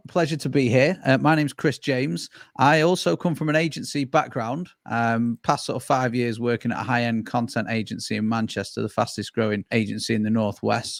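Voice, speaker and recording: A man being interviewed as a business consultant, talking in a relaxed, conversational way on a video call. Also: mid-range voice, dry recording, studio quality. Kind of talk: interview answers.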